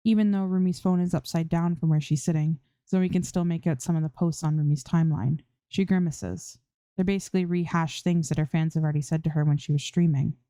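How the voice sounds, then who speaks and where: A woman's voice: low voice, fairly even intonation, brisk, mellow, dry recording. A woman reading fan fiction aloud as scripted audiobook-style narration, close to the microphone.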